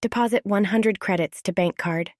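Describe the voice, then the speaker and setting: A woman voicing an eager, polite teenage girl in an audio story, earnest and eager to smooth things over. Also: mid-range voice, normal speed, no room sound, clean studio sound, natural voice. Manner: earnest, placating. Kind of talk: scripted audio story character.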